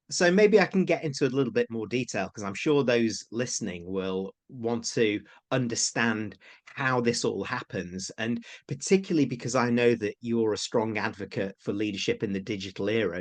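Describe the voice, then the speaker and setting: A middle-aged man hosting a podcast over a video call, asking his guest measured, encouraging questions. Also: lowish voice. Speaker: podcast host. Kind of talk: interview questions.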